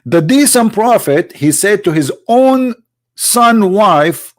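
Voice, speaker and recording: A man hosting a polemical livestream with a slight cold, talking in a mocking, rhetorical way that swings between loud emphasis and low asides. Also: expressive, takes it slowly, no room sound, clean studio sound. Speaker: host of a religious debate channel.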